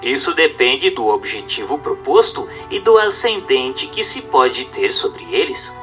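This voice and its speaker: A man with a Brazilian accent reads a religious text aloud as a narrator, in a formal, measured, didactic manner over music. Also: mid-range voice, big pitch swings, fairly bright tone. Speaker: narrator reading a book aloud. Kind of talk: scripted audiobook-style narration of a religious text.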